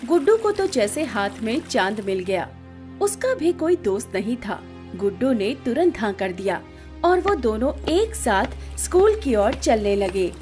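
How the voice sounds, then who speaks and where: A woman narrating a scripted bedtime story in an expressive storytelling manner. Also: fairly high pitch, a little slow, soft background music.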